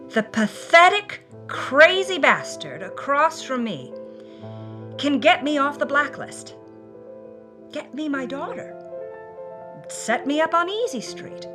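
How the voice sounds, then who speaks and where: A woman playing a defiant, wounded 1950s film starlet, telling her story in the first person with intense, theatrical emotion for an audio drama. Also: fairly high pitch. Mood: bitter, desperate. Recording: music behind the voice. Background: music.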